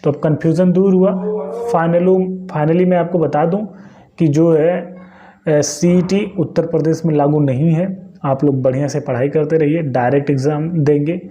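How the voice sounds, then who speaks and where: A man's voice: on the high side, fairly even intonation, normal speed, mellow, muffled recording. A man from hosting an exam coaching channel, explaining casually to his viewers, repeating phrases and checking that they follow. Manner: chatty, repeats phrases, asks "clear?". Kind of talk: casual explainer talk to camera.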